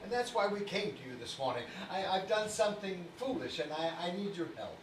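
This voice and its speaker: A man playing a gentle, guilt-ridden old father in a stage play, hesitant and apologetic, recorded far off with noise. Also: high-pitched, big pitch swings, a little fast. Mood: anxious, confessing. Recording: echoey stage from far off, noisy.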